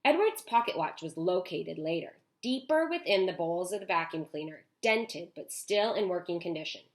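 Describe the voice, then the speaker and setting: A woman teacher reading a storybook aloud to children, expressive, with chatty asides. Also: lowish voice, moderate pace. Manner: animated, with chatty asides to young listeners.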